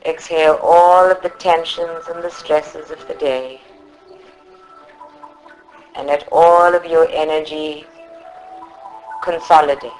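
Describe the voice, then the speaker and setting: A woman from South Africa leading a guided meditation in a soothing, instructive manner, with long pauses between short phrases. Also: low-pitched, steady tone, a little mellow, soft background music, rough recording. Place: live group meditation session at a centre.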